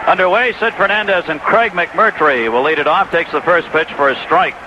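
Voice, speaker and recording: A man's voice: a little high, normal speed, over music. A man doing live baseball play-by-play in clipped descriptive phrases, in the style of 1980s radio sports commentary. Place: stadium broadcast booth. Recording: dull, noisy old AM radio broadcast.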